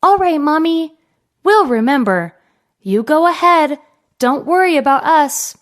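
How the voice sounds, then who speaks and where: Woman as a high-pitched, excitable little goat kid. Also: unhurried. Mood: reassuring. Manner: childlike, emphatic. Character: small young goat kids, earnest and excitable.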